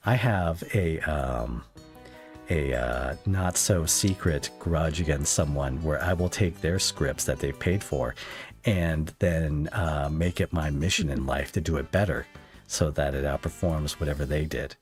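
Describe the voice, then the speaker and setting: A man hosting a casual podcast conversation, pausing and hesitating as he works out his points. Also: a bass voice, soft background music. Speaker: podcast interviewer.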